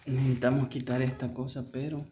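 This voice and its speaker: A man's voice: mid-range voice, level tone, fairly quick. Man in an echoey, noisy workspace. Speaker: motorcycle restoration vlogger. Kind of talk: casual hands-on chat while working.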